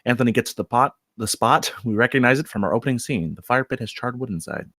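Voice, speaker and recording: Male narrator, screenplay stage directions, lively varied pitch, medium register, dry sound. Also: quick pace, no echo.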